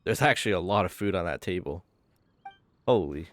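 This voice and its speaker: Very low-voiced woman playing a game. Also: lively intonation, fairly quick. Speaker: gaming video creator. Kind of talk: casual let's play commentary, reading dialogue.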